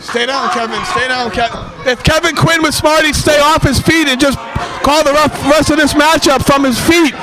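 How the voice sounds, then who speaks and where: A man doing excitable live play-by-play for a wrestling match in a small hall, shouting over the action. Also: extremely high pitch, normal speed. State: losing his voice. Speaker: wrestling commentator. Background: loud crowd.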